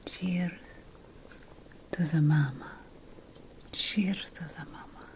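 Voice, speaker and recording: A woman whispers a fantasy roleplay in ASMR style as a playful, self-important witch and potion master, breathy and deliberate, with pauses between phrases. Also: low voice. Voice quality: breathy, mostly unvoiced whisper. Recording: faint background noise.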